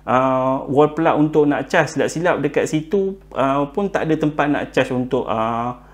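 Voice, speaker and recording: A man with a Malaysian accent explaining tips informally and unscripted, pausing on fillers, repeating himself and mixing in English words. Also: medium pitch. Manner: halting, frequent filler words and repetition; code-switches with English words. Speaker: presenter giving buying tips.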